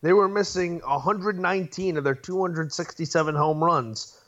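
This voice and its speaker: A man's voice: fairly high pitch, moderate pace, a bit bright, lo-fi sound. A man co-hosting a sports podcast, talking in an emphatic, opinionated way. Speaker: podcast co-host. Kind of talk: casual sports podcast chat.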